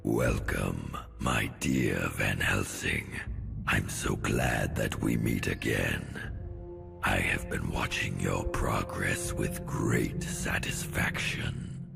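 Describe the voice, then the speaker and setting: Abysmally deep, gravelly suave villain. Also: male voice, over music, full character voice. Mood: gloating. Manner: unhurried, mock-courteous, gloating. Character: suave, gloating male arch-villain, coldly patronizing. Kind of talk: dramatic video game villain acting.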